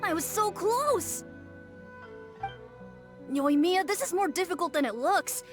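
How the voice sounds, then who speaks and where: Sweet young girl, extremely high pitch, earnest, plaintive, anime game, faint music. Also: expressive, takes it slowly, exaggerated voice. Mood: disappointed.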